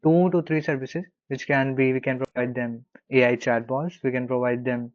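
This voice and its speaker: A man with an Indian accent, a consulting client speaking haltingly with many fillers on a video call. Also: mid-range voice, steady tone, no echo, muffled recording.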